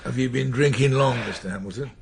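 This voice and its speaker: An actor playing a seasoned, measured male detective sergeant in a crime drama, with a dry, steady way of talking. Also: mid-range voice, natural voice. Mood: probing. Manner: dry, teasing, steady questioning. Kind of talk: naturalistic crime drama dialogue. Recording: clear background noise.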